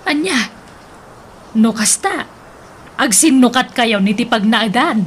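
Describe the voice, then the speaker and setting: A woman's voice: fairly high pitch, animated, takes it slowly, a little hiss or hum. A woman playing a suspicious, meddling aunt in an Ilocano radio drama, admonishing and questioning in an Ilocano Filipino accent. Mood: disapproving.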